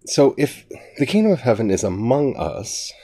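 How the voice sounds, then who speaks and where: A man with a cold, his voice gravelly and nasal, teaching a Bible study in a casual spoken way, earnest and thinking aloud. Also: mid-range voice, sing-song, normal speed, warm tone.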